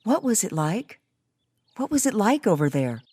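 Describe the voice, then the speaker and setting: A woman playing a gentle, sincere young woman grieving a lost love, speaking tender, earnest dialogue in an audiobook. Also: medium pitch, big pitch swings, moderate pace, husky, dry recording. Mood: curious. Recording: music under the voice. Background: music.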